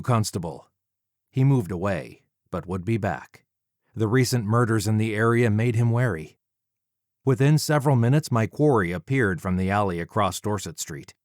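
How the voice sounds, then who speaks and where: A man narrating a first-person story as an audiobook reader, dramatic, measured and deliberate, close to the microphone. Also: on the low side, dry recording.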